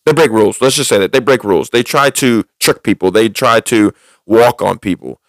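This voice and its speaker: A man with a fairly low voice talks as a podcast host, recorded close and dry. He vents in a casual, personal storytelling way, circling back and repeating phrases to drive his point home. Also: moderate pace, no room sound. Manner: repetitive, emphatic, with restated phrases.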